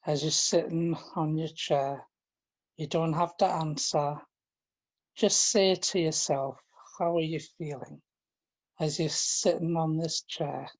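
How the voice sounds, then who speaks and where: Guy doing a guided meditation, really slow and flat, gentle, kinda halting. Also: fairly high pitch, low-quality recording. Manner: gentle, halting, informal with repeated phrases. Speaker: guided relaxation leader. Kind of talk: guided relaxation, meditation instruction.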